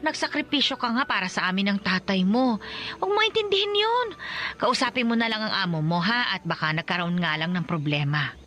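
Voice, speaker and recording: A woman's voice: mid-range voice, very animated, a little fast, sharp tone. A woman playing an older, loving rural Filipino mother in a radio drama, fussing and chatty in a Filipino accent. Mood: concerned, tender.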